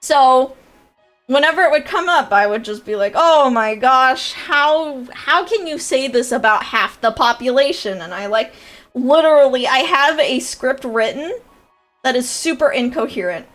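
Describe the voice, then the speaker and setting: A woman's voice: sits high, animated, a little slow, a bit bright, soft background music. A young woman with a nasal voice, chatting casually and rambling on a livestream, with lots of fillers and laughs. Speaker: VTuber streamer and creator coach.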